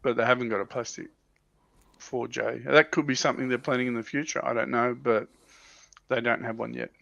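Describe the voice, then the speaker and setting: Dull lo-fi recording, man, heavy vocal fry, dry sound, casual panel chat. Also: mid-range voice, fairly even intonation, brisk, fairly bright tone, no room sound. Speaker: livestream panelist. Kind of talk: casual hobby discussion.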